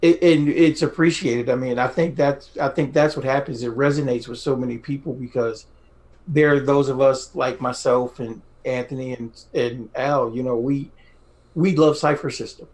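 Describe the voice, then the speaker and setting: A man's voice: medium pitch, steady tone, moderate pace, darkish tone. Effusive middle-aged man chatting. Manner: effusive, repeats phrases for emphasis. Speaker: podcast co-host. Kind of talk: casual enthusiastic group chat.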